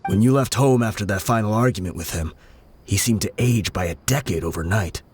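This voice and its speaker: A man voicing a vengeful male gang lieutenant in a video game, explaining events in a measured way. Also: lowish voice, darkish tone, slight background noise, lightly acted. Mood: grim.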